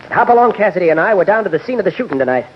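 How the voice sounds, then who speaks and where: A man's voice: high voice, expressive, rapid-fire. An actor playing a firm, weary frontier marshal in an old radio western, a man with an American accent and clipped, authoritative speech. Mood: urgent, commanding. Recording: muffled, hissy vintage radio recording.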